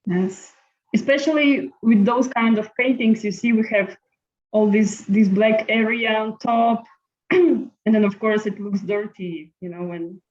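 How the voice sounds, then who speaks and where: A woman teaching a friendly live online painting class, chatting in an unhurried way with many fillers. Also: mid-range voice, darkish tone. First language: Latvian. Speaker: art teacher. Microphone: laptop video call. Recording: slightly muffled video call audio.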